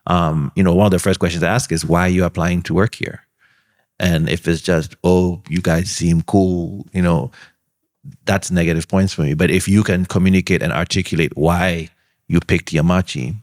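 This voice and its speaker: A man answering questions on a panel, giving earnest, measured advice with frequent pauses and ums. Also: deep voice, steady tone. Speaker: alumnus panelist.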